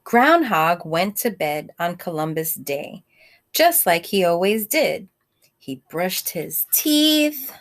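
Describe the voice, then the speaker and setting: A woman reading a picture book aloud like a teacher, in simple child-directed speech, pausing to ask questions and explain words. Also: fairly low pitch, very animated. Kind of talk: read-aloud storytime with asides and questions.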